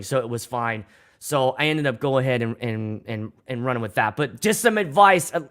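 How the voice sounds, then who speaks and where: A man's voice: mid-range voice, fairly bright tone. A young man from Pittsburgh with a Pittsburgh accent, hosting a casual livestream as a DJ, rambling, swearing freely and restarting his sentences. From Pittsburgh, USA. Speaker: DJ and livestream host.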